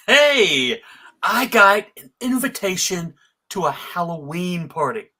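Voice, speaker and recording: A man with a creaky voice playing a hapless, earnest cartoon boy at a live table read. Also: high voice, expressive, slow pace, pushed and bright, voice pushed down, heavily acted. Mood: excited.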